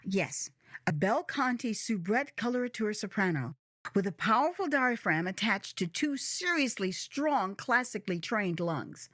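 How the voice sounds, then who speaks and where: A woman reading her own memoir as dramatized storytelling, with a theatrical, expressive and emphatic delivery. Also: medium pitch, moderate pace, no room sound, clean studio sound. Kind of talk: dramatized first-person storytelling.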